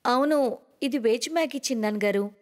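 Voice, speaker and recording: An eager, naive young bride from a storybook, played by a woman, speaks fairly high. Long reverb surrounds her. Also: fairly quick, natural voice. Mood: innocently eager. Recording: long reverberant hall echo.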